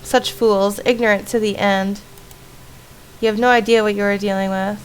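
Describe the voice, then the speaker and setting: A woman's voice: mid-range voice, steady tone, normal speed, slight background noise, close to an everyday voice, rough recording. Woman playing a haughty, menacing monster woman. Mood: scornful. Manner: haughty, threatening, theatrical.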